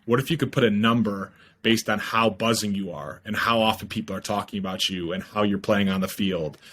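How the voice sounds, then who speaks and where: A man's voice: lowish voice, fairly even intonation, fairly quick, a bit bright. A young man in his twenties, a startup founder, explaining eagerly in a casual podcast interview over a video call with a headset, with many fillers. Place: home room, video call.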